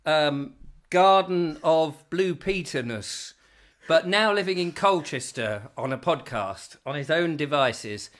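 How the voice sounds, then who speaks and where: A man's voice: on the high side, takes it slowly, a bit bright. A man hosting a comic live chat show from a home kitchen, joking along and reading out viewer comments. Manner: jokey, chatty, reads viewer comments aloud. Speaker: comedy chat show host.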